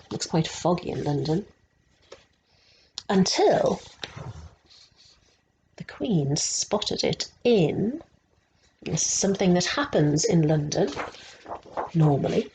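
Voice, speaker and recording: A woman reads a children's picture book at a very unhurried pace. Her voice sits slightly low for a woman. She keeps stepping out of the story to explain things and prompt small listeners with questions, over a faint background noise. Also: dark tone, poor audio. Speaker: resident story teller.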